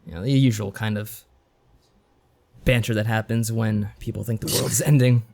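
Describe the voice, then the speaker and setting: A man's voice: fairly low pitch, steady tone, moderate pace, darkish tone. Casual male podcast co-host. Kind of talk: casual chat reviewing visual novels.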